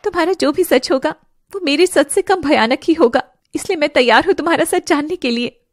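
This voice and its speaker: A woman voicing a young lovestruck fairy in an animated story, earnest, defiant and emotional. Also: high voice, brisk, no room sound, clean studio sound, lightly acted. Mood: resolute. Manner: emotional, dramatic delivery.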